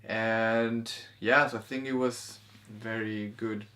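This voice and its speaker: A man co-hosting a casual review conversation, speaking haltingly and rephrasing as he goes. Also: fairly low pitch, flat delivery, bright, forceful tone. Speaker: co-host of a movie review. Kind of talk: casual conversational discussion of film and spirituality.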